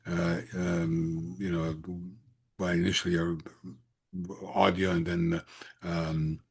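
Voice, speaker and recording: Deep, gravelly older man with Romanian accent. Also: flat delivery. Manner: halting, hesitant phrasing with frequent pauses. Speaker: interview guest, entrepreneur. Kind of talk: conversational autobiographical answer.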